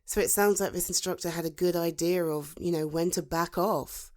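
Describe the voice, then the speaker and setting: A British woman playing a protective, supportive female friend in a conversational ASMR roleplay, soothing and encouraging, with thoughtful pauses. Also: fairly low pitch, fairly even intonation, darkish tone, close to an everyday voice. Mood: concerned, reassuring. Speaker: voice actor in an audio roleplay. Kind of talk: ASMR roleplay, conversational one-sided dialogue.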